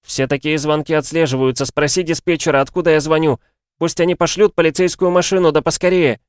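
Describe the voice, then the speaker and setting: Guy doing a scared little boy for an audiobook, pitched way up, tearful and begging, dry studio sound. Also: moderate pace, dry recording, lightly acted. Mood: urgent. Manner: desperate, pleading, crying out. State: crying. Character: frightened sobbing young boy, pleading for his parents on phone.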